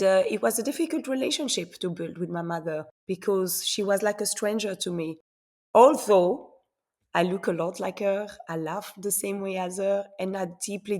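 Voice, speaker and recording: A woman born in Congo and raised in Belgium and Switzerland, answering interview questions earnestly and often repeating words as she searches for the next one. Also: fairly low pitch. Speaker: guest.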